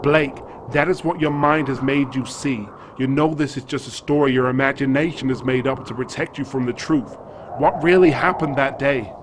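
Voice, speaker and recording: A male actor voices a game interrogator who is firm, patient and probing. His pitch is average for a man, and light background noise sits under the speech. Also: fairly even intonation, moderate pace, lightly acted.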